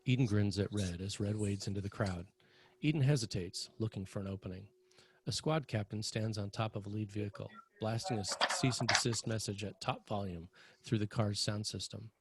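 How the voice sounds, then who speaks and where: Male table-read narrator: deeper, husky, dry sound, scripted delivery of stage directions. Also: steady tone, fairly quick.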